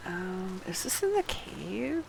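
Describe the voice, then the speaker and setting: A woman streams a game in an unhurried, expressive voice, switching between reading quest text and muttering to herself. Her delivery swings freely in pitch and stays casual. Faint noise sits behind her. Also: vocal fry, low-quality recording.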